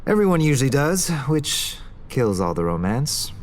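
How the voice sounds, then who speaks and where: A man's voice: mid-range voice, very animated, moderate pace, natural voice. Actor as young male heir in noisy surroundings. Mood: candid. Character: young Korean business heir, sincere, gently teasing suitor. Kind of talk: naturalistic romantic audio drama dialogue.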